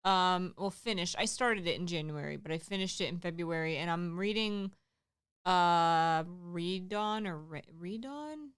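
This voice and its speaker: A woman's voice: fairly low pitch, unhurried, a bit bright, smooth. A woman co-hosting a livestream, reading viewer comments aloud and chatting casually. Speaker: livestream co-host. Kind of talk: casual stream chat.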